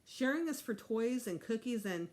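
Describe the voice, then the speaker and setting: A woman's voice: a little high, expressive, normal speed, pitched above the performer's own voice, natural voice. A woman voicing a patient but exasperated mother, reasoning firmly, in a children's storybook.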